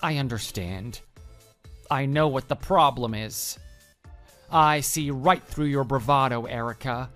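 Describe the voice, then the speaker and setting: A man's voice: mid-range voice, unhurried, a bit bright, faint music behind, natural voice. An actor playing a deluded, pushy male office coworker in love, making florid, earnest declarations in a scripted online drama. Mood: grandiose pleading.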